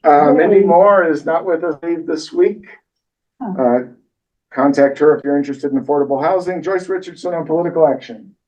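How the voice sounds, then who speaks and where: A man hosting a community meeting in a room, making announcements in a relaxed, joking way. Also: on the high side, moderate pace. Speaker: meeting host. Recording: dull audio, voice from far off.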